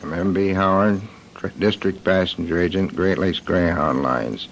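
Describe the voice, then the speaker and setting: A man with an American accent giving a prepared eyewitness statement in a plain, halting way. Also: low voice, creaky. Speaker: eyewitness, bus company passenger agent. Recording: dull, faintly noisy 1940s radio recording.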